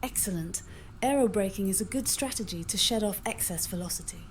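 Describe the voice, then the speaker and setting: A synthetic woman's voice, an AI copilot giving measured, composed advice in tidy full sentences. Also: medium pitch, slight background noise, low-quality recording. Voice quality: synthetic text-to-speech voice. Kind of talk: measured instructional guidance.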